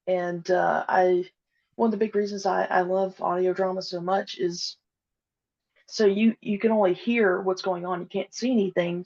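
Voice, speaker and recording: A woman guest talking casually at a middle pitch, pausing often on "uh" and "um." Her voice comes through a slightly dull, lo-fi line. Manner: hesitant, many "uh" and "um" fillers. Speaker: podcast guest, audio drama creator. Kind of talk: casual interview chat.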